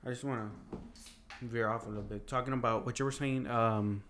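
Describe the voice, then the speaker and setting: A man whose first language is Spanish, chatting casually with friends, rambling with halting restarts and casual swearing. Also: mid-range voice, fairly even intonation, a bit bright, slight background noise. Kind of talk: casual chat.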